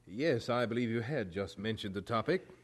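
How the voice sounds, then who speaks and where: A man acting in an audio drama as a firm, earnest colonial chaplain, speaking in a formal, measured and articulate way. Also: lowish voice, animated. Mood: firm, reasoned.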